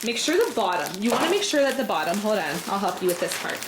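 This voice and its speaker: A woman's voice: medium pitch, sing-song, fast. A woman in her thirties chatting casually as a family vlogger and mother. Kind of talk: casual vlog chat. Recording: clear background noise.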